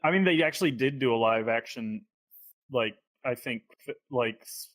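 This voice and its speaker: Male co-host, mid-range voice, informal chat, halting and hedged phrasing. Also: medium pitch, fairly bright tone. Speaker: podcast co-host. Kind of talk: casual chat.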